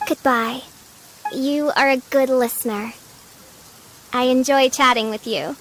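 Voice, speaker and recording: A woman's voice: high voice, steady tone, measured pace, a bit bright, poor audio. A woman voicing a theatrical teenage girl who plays the grandiose noble princess in a video game, with dramatic delivery. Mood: sincere, wistful. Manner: pompous, archaic, dramatic phrasing. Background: game music.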